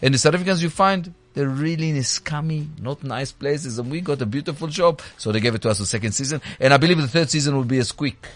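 A man's voice: fairly high pitch, fast talker, few pauses. A man with a Spanish accent chatting as a guest in a radio studio, effusive and searching for words. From Spain or Spanish-speaking country. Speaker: pawn shop owner and reality show guest. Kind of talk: casual radio chat.

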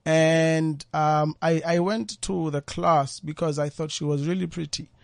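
A man's voice: on the high side, steady tone, unhurried, creaky, no echo. A South African man chatting as a guest poet in a radio interview, speaking thoughtfully and often repeating his words. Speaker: guest poet and former journalist. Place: radio studio.

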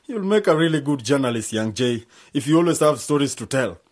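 Polite young male student, keen yet hesitant, husky and animated, man acting it out for radio drama. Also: medium pitch, fairly quick, lightly acted. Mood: curious.

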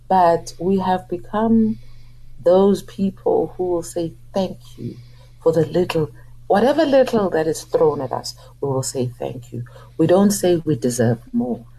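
A woman's voice: low-pitched, mellow, husky, poor audio. A middle-aged woman from South Africa, an opera singer speaking earnestly and with passion as a guest in a radio interview. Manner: earnest, impassioned, speaks out against abuse. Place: radio studio.

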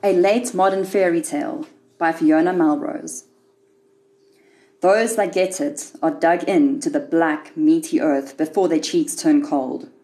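Woman reading literary fiction, lowish voice. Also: measured pace, dark tone, rough recording. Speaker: author reading own short story. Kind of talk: scripted literary narration.

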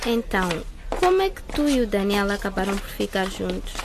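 A woman's voice: mid-range voice, very animated, a little fast, very noisy. A woman acting in a radio soap as an apologetic, betrayed teenage girl. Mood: hurt.

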